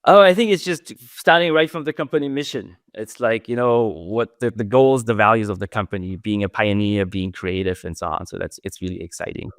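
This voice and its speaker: A man's voice: lowish voice, brisk, hardly any pauses, dry recording, studio quality. A middle-aged man from Austria with an Austrian German accent, a native German speaker, answering interview questions thoughtfully and trailing off at the ends of phrases. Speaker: security researcher guest.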